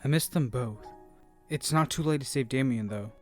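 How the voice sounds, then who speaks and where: A man's voice: mid-range voice, moderate pace, a little mellow, faint music behind, natural voice. A man acting in an amateur audio drama as an earnest, impulsive young fighter in a battle game. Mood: worried, sad. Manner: earnest, urgent.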